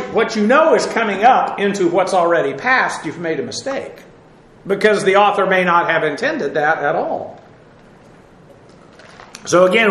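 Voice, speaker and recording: Man, high voice, preacher, expository sermon, lots of uh fillers, echoey hall. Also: fairly bright tone. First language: English. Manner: frequent "uh" fillers and restarts, teacherly. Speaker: pastor. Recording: echoey room.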